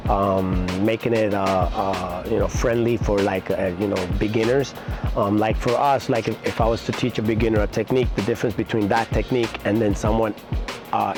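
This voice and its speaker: A middle-aged man, talking casually and haltingly in an interview as a martial arts instructor, with many pauses. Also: fairly low pitch. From Puerto Rico-born, raised in New York City.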